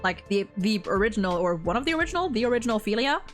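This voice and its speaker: A woman's voice: medium pitch, sing-song, racing, soft background music. A woman chatting casually as host about a video game, emphatic and opinionated, swearing freely. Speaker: host of a game story ranking show.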